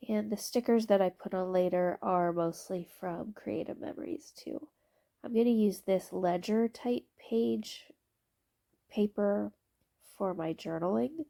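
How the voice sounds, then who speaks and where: A woman with a stuffy, congested voice narrating her scrapbooking process video, explaining her supplies in a relaxed way with occasional ums. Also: mid-range voice, creaky. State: stuffy, congested from allergy shots.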